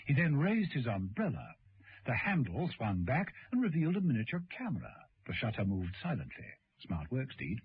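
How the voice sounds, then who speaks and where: Male radio-drama narrator. Mid-pitched, animated scripted storytelling, muffled vintage recording. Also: normal speed, a little hiss or hum.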